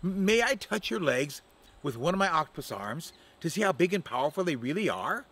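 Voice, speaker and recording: A man voicing a sly female octopus who flatters and coaxes her prey. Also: a little high, brisk, pitched above the performer's own voice, heavily acted. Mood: flattering. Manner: sweet-talking, coaxing.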